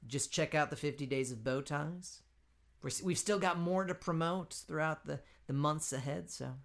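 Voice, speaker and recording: A man's voice: a little high, moderate pace, gravelly, fairly bright tone. A man hosting a comedy podcast interview, playful and joking with his guest. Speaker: podcast host.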